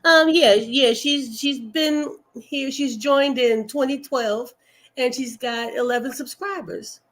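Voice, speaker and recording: A woman in her fifties co-hosting a casual live stream chat, teasing and quick to laugh. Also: sits high, fairly bright tone. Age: 50s. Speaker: co-host.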